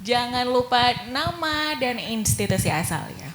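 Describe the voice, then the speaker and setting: A woman's voice: on the high side, very animated, unhurried, a bit bright. A woman moderating a Q&A, upbeat and inviting. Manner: upbeat, inviting, lightly joking. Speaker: session moderator. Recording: echoey room with faint noise.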